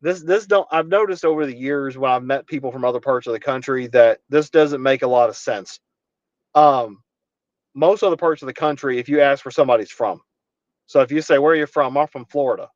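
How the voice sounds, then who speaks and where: A man's voice: mid-range voice, a little fast, no echo, muffled recording. Earnest young man from Kentucky. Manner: earnest, rambling with many restarts. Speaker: podcast guest. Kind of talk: casual conversation.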